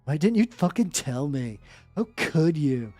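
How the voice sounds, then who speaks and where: A woman's voice: deep voice, sing-song, a little slow, mellow, no room sound, pitched below the performer's own voice, heavily acted. A woman voicing an anguished, accusing teenage wizard boy in a fan fiction story. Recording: dry close sound with music behind.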